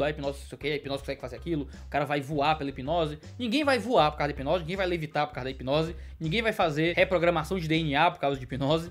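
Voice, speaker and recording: A man in his twenties, a pastor, answering viewer questions in a quick, explanatory way from a study lined with bookshelves. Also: on the high side. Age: 20s. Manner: fast, explanatory, gestures with hands.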